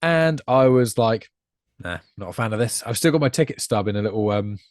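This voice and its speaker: Fast-talking, congested man. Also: on the low side, lively intonation, no room sound, clean studio sound. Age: late 20s. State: congested from a cold and hay fever, chewing gum. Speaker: podcast guest. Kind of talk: casual chat.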